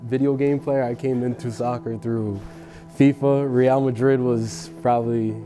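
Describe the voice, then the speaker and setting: Very mellow, dark-voiced American man. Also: medium pitch, fairly even intonation, a little slow, faint music behind. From Rhode Island, US. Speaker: contestant in a fan panel game. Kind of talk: casual group chat.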